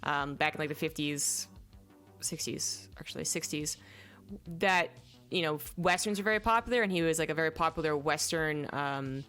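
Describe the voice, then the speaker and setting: This is a young woman with a low-pitched voice giving a casual spoken film review. She rambles, fills gaps with "like" and "um," and stops to search aloud for names. Faint music plays behind her. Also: normal speed, a bit bright. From native English speaker. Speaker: vlogger reviewing films.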